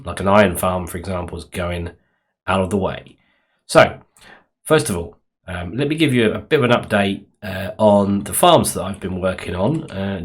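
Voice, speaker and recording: A man a little croaky from being sick, rambling casually through commentary on his game build with many ums and restarts. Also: deep voice. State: slightly croaky from illness. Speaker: Minecraft let's play host.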